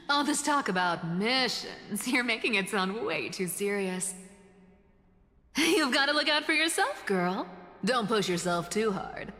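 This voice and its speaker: An actress playing a breezy, teasing young female rogue in a video game, with flippant, drawn-out delivery. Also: fairly high pitch, sing-song, pushed and bright, big-room sound, full character voice.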